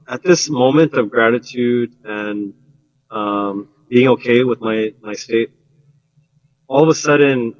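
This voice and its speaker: A man on a community panel telling a personal story in an earnest, halting way with many fillers. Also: medium pitch, dull audio.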